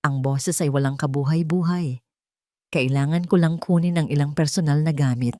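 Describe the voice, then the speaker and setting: A woman's voice: sits low, moderate pace, mellow, no echo, natural voice. A woman acts out a betrayed Filipino wife in an audio romance drama. She sounds defeated and grieving, speaking heavily and hesitantly in a dry recording. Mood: lifeless. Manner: heavy, hesitant, lifeless.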